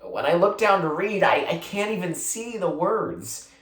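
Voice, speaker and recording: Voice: medium pitch, animated, a little slow, voice pushed up, natural voice. A reader voicing a haughty, scornful sixth-grade girl from a children's storybook. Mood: fussing, alarmed. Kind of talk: children's storybook character read aloud. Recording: roomy, echoing sound.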